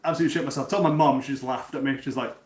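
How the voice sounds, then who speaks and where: A man's voice: mid-range voice, fairly even intonation, rapid-fire, a little mellow, reverberant. A man in his thirties from North Wales, chatting casually with viewers while he cooks on a live stream. He often repeats words and restarts his sentences. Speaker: cooking stream host. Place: home kitchen.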